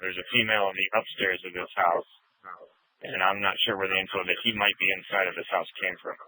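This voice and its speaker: Deep-voiced policeman on sharp radio. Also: little pitch movement, quick pace, no room sound, rough recording. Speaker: police officer at a scene. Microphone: two-way radio. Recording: sharp, dry police scanner radio audio.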